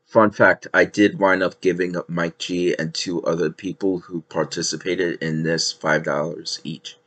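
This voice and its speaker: Young man, low voice, rambling, filler-heavy, headset mic, casual reaction vlog. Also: flat delivery, unhurried, a bit bright. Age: young adult. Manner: chatty, rambling with many "like" fillers. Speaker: vlogger reacting to his own footage. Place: living room with a couch, indoors. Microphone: gaming headset.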